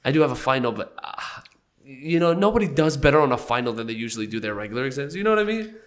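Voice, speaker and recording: A young man with a stuffy, slightly nasal voice from a cold talks loosely and jokingly, pitched mid-range. He coughs now and then between his rambling remarks. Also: animated, fast. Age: young adult. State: congested from a cold. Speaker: podcast host. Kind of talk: casual podcast chat.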